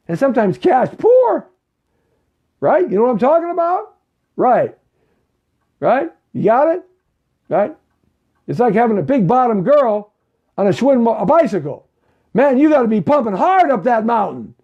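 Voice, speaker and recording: A man's voice: very high voice, big pitch swings, normal speed, pauses often, slightly rough, dull audio. A man in his fifties hosting a live money talk show, preaching and repeating himself, and often checking in with "okay?" and "right?". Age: 50s.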